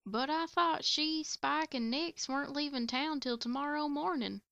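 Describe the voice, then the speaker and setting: A woman's voice: high-pitched, measured pace, gravelly, a bit bright, no room sound, lo-fi sound, exaggerated voice. A woman playing a plain-spoken young country pony, folksy and down-to-earth, in an amateur audio drama. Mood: friendly, plain.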